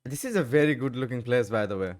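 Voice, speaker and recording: A man giving casual playthrough commentary, halting and rambling, with some mild swearing. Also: mid-range voice, lively intonation, very noisy. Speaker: gaming commentator. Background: game music.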